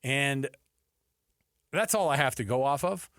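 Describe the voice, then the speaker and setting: A man's voice: medium pitch, measured pace, fairly bright tone, clean tone, no echo. A man hosting a sports radio show, talking casually and repeating phrases for emphasis into a close studio microphone. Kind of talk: casual sports talk commentary.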